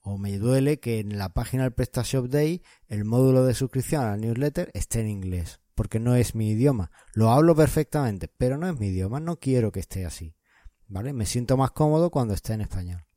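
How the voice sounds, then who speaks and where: Mellow-voiced man giving advice. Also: mid-range voice, expressive, fairly quick, dry recording, studio quality. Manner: explanatory, advising listeners. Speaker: podcast co-host. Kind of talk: casual chat.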